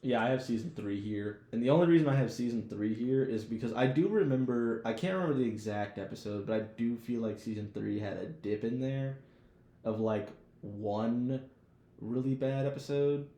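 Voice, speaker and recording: A man's voice: mid-range voice, moderate pace, warm tone, roomy sound. A man co-hosting a podcast in casual chat, talking informally with slang and swearing. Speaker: podcast co-host. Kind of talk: casual chat, TV show discussion.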